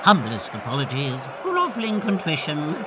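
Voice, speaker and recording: A man's voice: a little high, huge pitch swings, normal speed, very noisy. A man playing a grovelling lord in a comic audio drama, obsequious to his betters and bossy to others. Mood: fawning. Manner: obsequious, switching to brash and bossy. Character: fawning, grovelling male lord, rude to commoners.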